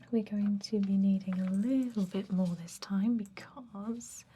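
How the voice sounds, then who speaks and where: A woman whispering an ASMR roleplay as a calm, businesslike vampire dentist, giving gentle, soft-spoken instructions. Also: mid-range voice, slight background noise.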